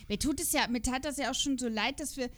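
Female podcast host, high-ish voice, informal conversational delivery, unscripted chat. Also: quick pace, fairly bright tone. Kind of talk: casual chat about audio drama episodes.

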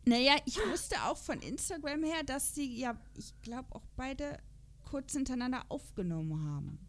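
High-voiced German woman chatting casually. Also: animated, normal speed. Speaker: podcast co-host. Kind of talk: casual podcast chat.

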